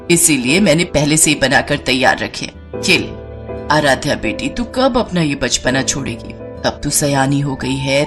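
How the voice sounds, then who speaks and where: A woman's voice: low voice, voice pushed down, close to an everyday voice. A woman voicing a fond, exasperated middle-aged mother with a chiding, motherly manner in a story.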